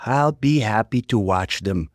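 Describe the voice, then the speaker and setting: A man voicing a warm, reasonable young aunt in a story, sincere and polite but standing her ground. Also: lowish voice, expressive, measured pace, no echo, clean studio sound, voice pushed up. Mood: polite, firm.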